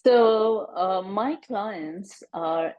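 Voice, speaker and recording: A woman psychologist as an interview guest, explaining her work in a relaxed way with everyday comparisons and often checking with "right?". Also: medium pitch, very slow, lo-fi sound. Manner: explains with analogies, tags "right?". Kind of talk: casual interview chat.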